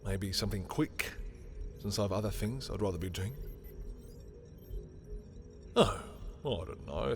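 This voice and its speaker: A man's voice: mid-range voice, a bit bright, lightly acted. A man playing a sardonic, petty villain in a scripted audio roleplay, talking in theatrical, sarcastic taunts. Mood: dry sarcasm. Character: sardonic, petty male villain, grudgingly familiar with rival.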